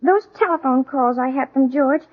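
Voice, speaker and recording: High-voiced, hurt teenage girl. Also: normal speed. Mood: upset, pleading. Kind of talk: 1940s radio comedy acting. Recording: muffled, lo-fi old radio broadcast.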